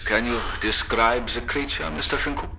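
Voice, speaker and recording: A man's voice: medium pitch, moderate pace, creaky, bright, forceful tone, breathy edge, heavily acted, low-quality recording. An actor playing a cold, eccentric male doctor who records experiment logs in a clipped, detached clinical manner. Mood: probing. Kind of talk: horror audio drama acting.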